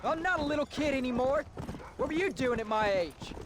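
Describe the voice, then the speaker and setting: A man's voice: very high-pitched, animated, brisk, noisy background. A young man playing a defiant, sulky teenage farm boy in a video game, speaking with an American accent in a sullen, protesting way. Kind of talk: video game cutscene acting.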